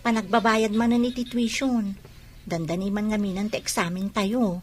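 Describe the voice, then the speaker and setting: A woman's voice: mid-range voice, fairly even intonation, normal speed, close to an everyday voice. A woman playing a concerned, questioning young student friend in an Ilocano radio drama. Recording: music bed under dialogue.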